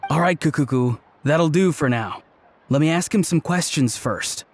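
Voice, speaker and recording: A man playing a laid-back, sincere young man in a video game, casual and deadpan, seeming a little clueless. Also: on the high side, normal speed, very noisy, close to an everyday voice. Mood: mild, firm.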